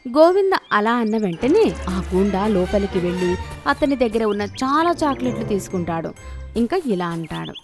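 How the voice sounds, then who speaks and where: Woman telling kids moral stories, scripted storyteller read, music clearly playing behind her. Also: mid-range voice, very animated, normal speed. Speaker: story narrator.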